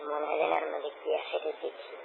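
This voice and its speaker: A man's voice: on the high side, completely flat delivery, fast talker, vocal fry. A man chatting casually and intimately on a phone call, often repeating his own phrases. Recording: noisy, narrow phone call recording.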